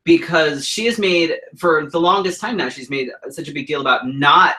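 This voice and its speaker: Brief: male co-host, bright and forceful tone, blunt and profane, indignant delivery. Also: fairly high pitch, moderate pace. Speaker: co-host, drag king performer. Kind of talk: heated casual chat.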